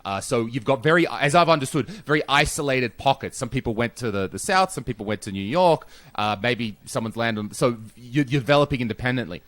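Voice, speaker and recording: Animated Australian man chatting. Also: medium pitch, brisk, hardly any pauses, no room sound. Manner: animated, swears freely, jokey asides. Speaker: podcast host. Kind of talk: casual chat.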